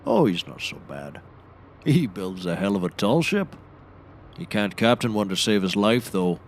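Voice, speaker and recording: Man playing a low-voiced deadpan seafaring sidekick. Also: a little slow, slight background noise. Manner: dry, deadpan, storytelling. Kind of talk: scripted comedy audio drama.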